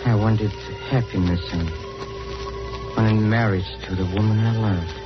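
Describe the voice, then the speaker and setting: An actor playing a brooding, self-justifying criminal, deliberate and melodramatic in 1940s radio style on a vintage recording. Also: male voice, on the low side, steady tone, natural voice. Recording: muffled vintage radio recording, music behind.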